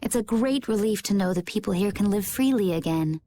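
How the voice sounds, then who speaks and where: A woman playing a composed, kindly young female adventurer in a video game, speaking calmly. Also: mid-range voice, brisk, a little hiss or hum, lightly acted.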